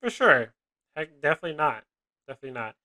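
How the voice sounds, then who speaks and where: A host chats casually, answering with quick reactions, frequent laughter and loose swearing. The voice is bright and forceful, at a medium pitch. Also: big pitch swings. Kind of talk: casual conversation.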